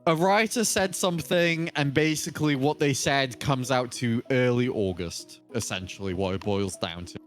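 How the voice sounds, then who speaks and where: A man's voice: medium pitch, normal speed, hardly any pauses. A man in a casual podcast chat about gaming, talking in an emphatic, playful way. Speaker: podcast panelist. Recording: music behind the voice.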